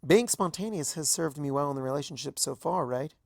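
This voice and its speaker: A woman's voice: low voice, lively intonation, a little fast, gravelly, darkish tone, dry recording, clean studio sound, exaggerated voice. A woman voicing a hurt, bitter young female pony in a storybook reading, accusing and sarcastic with pointed rhetorical questions. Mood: cold, spiteful. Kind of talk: dramatised fan fiction reading.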